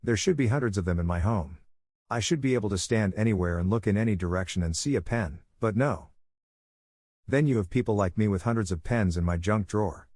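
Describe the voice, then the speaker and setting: A man with a somewhat low voice reads a run of short posts aloud in scripted narration. His delivery is steady and even, and the sound is dry and close. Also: fairly quick, no echo. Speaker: narrator reading online posts.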